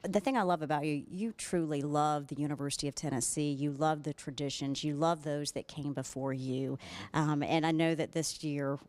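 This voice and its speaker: A woman's voice: low voice, fairly even intonation, moderate pace, darkish tone. A woman with an American accent presenting live local television in an upbeat, polished and conversational way. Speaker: TV host, interviewer. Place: stadium press box.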